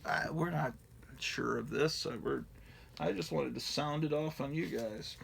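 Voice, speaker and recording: A man's voice: a little high, a little slow, fairly bright tone, slight background noise. A middle-aged man in a casual, rambling show-and-tell, profane and digressive with a self-mocking streak. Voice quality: gravelly.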